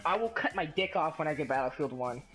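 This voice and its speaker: A man's voice: on the high side, animated, fast talker. Crude, taunting young man prank calling. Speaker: prank caller. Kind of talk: prank phone call. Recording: music laid over the voice.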